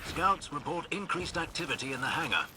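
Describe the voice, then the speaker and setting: An actor playing a dry, dutiful combat soldier in a video game, reporting briskly with a teasing edge. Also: male voice, fairly high pitch, lively intonation, lightly acted. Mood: dry, alert. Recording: noisy battle and vehicle ambience.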